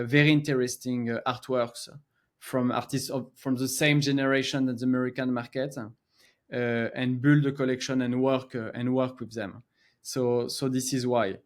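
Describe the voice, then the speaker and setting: Male guest, French accent, middle-aged, hesitant interview delivery. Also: medium pitch. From France. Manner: halting, many filler pauses and restarts. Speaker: co-founder and gallery guest. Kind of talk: podcast interview.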